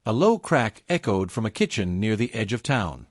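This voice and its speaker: A man narrating a scripted folktale in a solemn, portentous way, in short measured phrases. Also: lowish voice, big pitch swings, no room sound, studio quality. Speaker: storyteller, narrator.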